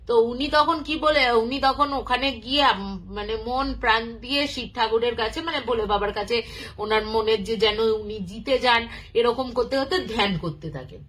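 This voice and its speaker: A woman with a high, bright, forceful voice tells a story off the cuff. Her delivery is informal and conversational, halting as she pieces the tale together like someone recounting it to a listener. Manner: halting and conversational, acts out quoted dialogue. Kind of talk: informal, unscripted spoken storytelling.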